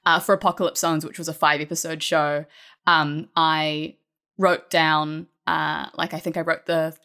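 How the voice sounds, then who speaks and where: A woman's voice: low-pitched, little pitch movement, unhurried, creaky, sharp tone. A woman talking casually as a writer guest on a podcast, rambling and correcting herself as she goes. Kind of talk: casual panel chat.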